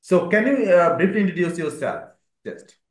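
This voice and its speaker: A man's voice: sits high, sing-song, moderate pace. Male english teacher, encouraging, lots of praise, roomy echo. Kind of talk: online spoken English class.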